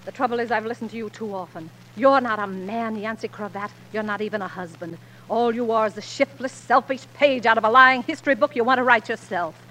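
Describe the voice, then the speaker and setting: An actress playing a strong-willed, long-suffering frontier wife and editor in 1940s radio drama style, emotional and emphatic. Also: female voice, fairly high pitch, a little fast, hardly any pauses, a bit bright, slight background noise, lightly acted, low-quality recording. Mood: bitter, pleading. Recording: old 1940s radio recording.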